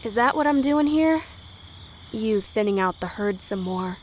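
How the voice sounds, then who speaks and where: A woman acting a brave, polite but defiant high school girl in a period audio drama, with folksy speech. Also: expressive, unhurried, a little hiss or hum, lightly acted. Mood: wary, defiant. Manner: folksy dialect grammar, plucky. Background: crickets and night insects.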